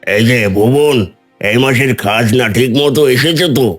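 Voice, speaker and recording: Landlord patriarch, played by a man: medium pitch, commanding yet indulgent, music underneath. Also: normal speed, lightly acted. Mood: authoritative. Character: authoritative but indulgent village landlord father. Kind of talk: Bengali animated story voice acting.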